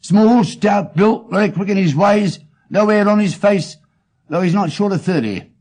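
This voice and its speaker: A man's voice: high-pitched, unhurried, a little mellow, voice pushed up. A man with an English accent voicing an earnest, indignant tradesman, plaintive and deferential, in an audiobook reading. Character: aggrieved, simple male London tradesman, earnest and indignant. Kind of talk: dramatic audiobook character reading.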